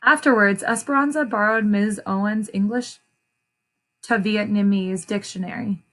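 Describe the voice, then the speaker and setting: A woman reading a picture book aloud like a teacher, deliberate and expressive, pausing to explain and repeat phrases for young listeners. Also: mid-range voice. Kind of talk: children's read-aloud with short explanations.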